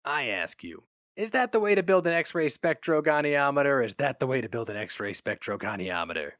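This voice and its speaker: A man's voice: medium pitch, sing-song, fairly quick, a bit bright, no room sound, studio quality, voice pushed up. A man voicing a pushy, grinning, boastful salesman in a dramatic story reading.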